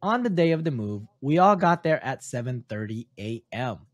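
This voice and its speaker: A man's voice: medium pitch, very animated, moderate pace, no room sound. A man who is not a native English speaker reads a story aloud for a podcast, stumbling now and then on his words. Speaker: podcast host reading stories.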